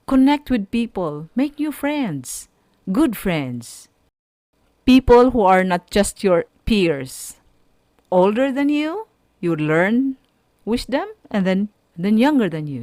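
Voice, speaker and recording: A woman with a Filipino accent giving an instructional radio lesson to students in short, deliberate, encouraging phrases. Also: medium pitch, darkish tone, no room sound. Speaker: radio teacher.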